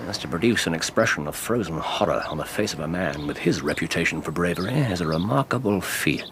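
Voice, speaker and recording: A Victorian detective with an English accent in a radio drama. He is low-pitched and very animated, incisive and imperious, choosing formal, probing phrases, with faint noise behind him. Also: fast. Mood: incisive, dry. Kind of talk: British radio drama acting.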